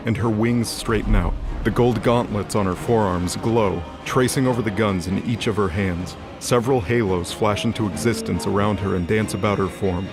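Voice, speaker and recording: Low-pitched male drama narrator over music. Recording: music under the voice.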